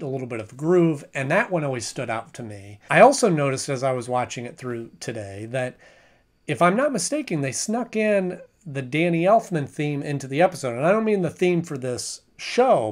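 A man's voice: a little high, sing-song, fairly quick. A man in his thirties giving a casual, conversational episode review to camera in a small room at home, often restarting his sentences. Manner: conversational, with frequent restarts and hedging. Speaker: show reviewer.

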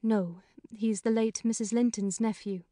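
A man's voice: sits high, fairly even intonation, mellow, dry recording, clean studio sound, pitched above the performer's own voice. A man voicing a talkative middle-aged female housekeeper in a plain, frank way in a storybook reading. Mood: chatty. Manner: brisk, talkative, plain-spoken. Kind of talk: audiobook character voice.

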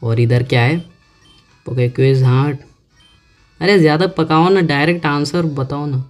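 A man giving casual let's-play commentary, chatting to himself and reading on-screen text aloud slowly. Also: fairly high pitch. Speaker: gaming YouTuber. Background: faint game music.

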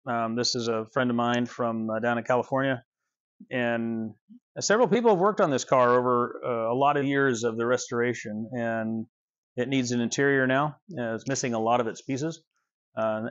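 A man's voice: mid-range voice, moderate pace. Guy in his 50s wandering his big car workshop, chatty, lots of ums, kinda muffled sound. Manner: relaxed, rambling, many fillers and restarts. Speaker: restoration shop owner giving a tour. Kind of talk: casual walking shop tour. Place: large indoor car restoration workshop.

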